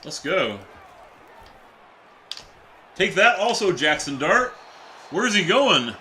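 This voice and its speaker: A young adult man, a teacher by day, giving casual, excitable live-stream commentary while playing a football video game and chatting with viewers. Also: high voice, slow pace, slight background noise. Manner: excitable, chatty, reacts to plays and reads chat aloud.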